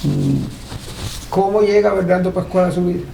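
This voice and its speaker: A man's voice: high voice, a little slow, vocal fry. A man interviewing respectfully, using formal address and small affirming murmurs. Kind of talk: oral history interview, respectful questioning. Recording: roomy echo, faint background noise.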